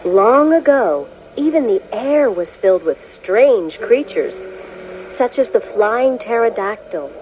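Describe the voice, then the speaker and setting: A woman narrating a children's educational book, reading in a clear, measured, explanatory way as if to young listeners. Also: fairly high pitch, lively intonation, noisy background. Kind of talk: scripted storybook narration.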